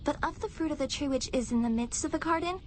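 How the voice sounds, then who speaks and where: An actress playing an innocent, gentle first woman with an earnest, measured manner in a dramatized audio Bible. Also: high voice, background noise, lightly acted.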